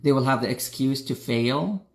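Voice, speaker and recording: A man's voice: medium pitch, moderate pace. A young man from Iraq, a spiritual coach, talking straight to his viewers in an earnest, preachy motivational style. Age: young adult. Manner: earnest, direct, preachy, addresses viewers as guys. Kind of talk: motivational talk to camera.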